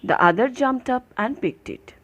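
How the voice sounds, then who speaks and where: A woman's voice: a little high, expressive, moderate pace, a bit bright. A woman teacher telling a moral story to young children in simple, repetitive phrases, putting questions to them.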